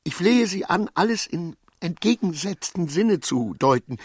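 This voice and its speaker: An actor in a German radio play playing an agitated, servile, self-righteous gentleman who stammers, repeats himself and pleads in a husky voice. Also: sits high, lively intonation, moderate pace, no echo, clean studio sound. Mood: anxious, contrite. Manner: stammering, repetitive, pleading, swinging from muttering to outbursts.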